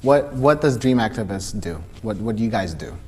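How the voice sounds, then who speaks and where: A man's voice: fairly low pitch, animated, normal speed, darkish tone, a little hiss or hum. A man hosting an interview, friendly and mixing Spanish phrases into his questions. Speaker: host. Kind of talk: interview host.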